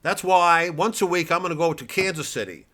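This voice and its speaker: A man playing a boastful male campaigning politician in a booming stump-speech delivery. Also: fairly quick, a bit bright, close to an everyday voice.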